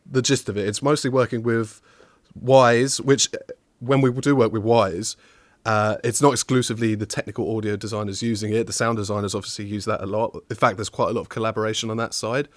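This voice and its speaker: A man's voice: lowish voice, steady tone, fairly quick, dry recording. Chatty young man with a southern English accent. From England, outside southwest London. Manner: chatty and upbeat, with fillers. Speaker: guest, technical audio designer. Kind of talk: casual interview chat.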